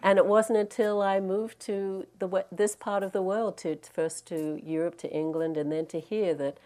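A woman from Australia with a softened Australian accent, giving a gentle, reflective dharma talk as a meditation teacher, with frequent ums and restarts. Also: medium pitch, fairly even intonation, normal speed. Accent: Australian, mixed with American. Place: meditation hall in California.

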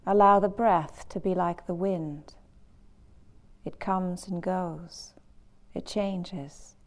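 A woman leading a guided meditation as a teacher, calm and unhurried, with long pauses between short phrases. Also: on the low side.

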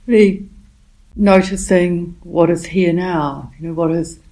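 A woman teaching a guided meditation in a gentle, calm manner, speaking in short phrases with long pauses. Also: fairly low pitch, low-quality recording. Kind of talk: guided meditation, softly spoken instruction.

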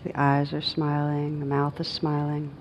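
Low, even-voiced woman guiding meditation. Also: lo-fi sound, slight background noise. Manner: gentle, unhurried, with long pauses. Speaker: meditation teacher. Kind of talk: guided meditation.

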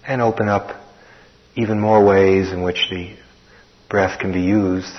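Low, even-voiced man guiding meditation. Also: a little hiss or hum. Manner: slow, unhurried, with long pauses. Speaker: meditation teacher. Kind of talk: guided meditation. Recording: dull, muffled recording.

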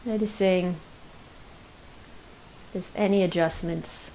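A woman leading a guided meditation as a teacher, speaking gently and unhurriedly with long pauses. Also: on the low side, fairly even intonation, low-quality recording. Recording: faint hiss behind the voice.

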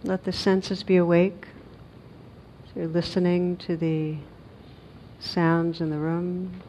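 A woman meditation teacher leading a guided meditation in a gentle, unhurried manner with long pauses, on a recording with some noise. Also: level tone. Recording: dull recording with noise and rumble.

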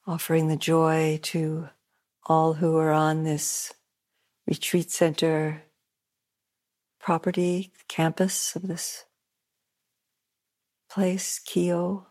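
A woman meditation teacher leading a guided meditation at a retreat center, soft-spoken, with long pauses and gently repeated phrases. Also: deep voice, flat delivery.

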